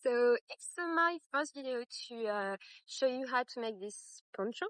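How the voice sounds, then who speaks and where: A woman's voice: a little high, animated, normal speed, a bit bright, dry recording. A young woman with a French accent, a native French speaker, hosting a casual crochet tutorial with many fillers and restarts. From France. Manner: hesitant, many fillers and restarts, laughs at herself.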